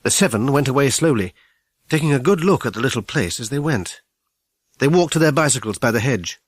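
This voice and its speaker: A man narrating a children's story in an expressive, scripted storytelling manner. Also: mid-range voice, a little fast, dry recording. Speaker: audiobook narrator.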